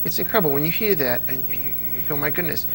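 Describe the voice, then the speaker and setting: A man's voice: fairly high pitch, lively intonation, racing, noisy background. A middle-aged man chatting casually as an interview guest, telling anecdotes with enthusiasm and emphatically repeating words. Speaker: interview guest, old-time radio fan.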